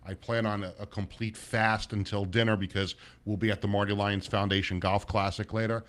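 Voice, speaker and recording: Raspy-voiced man hosting sports talk. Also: lowish voice, flat delivery, fairly quick. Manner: rambling, many restarts and fillers. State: sluggish, low energy. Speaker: sports radio host. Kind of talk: casual sports talk show chat.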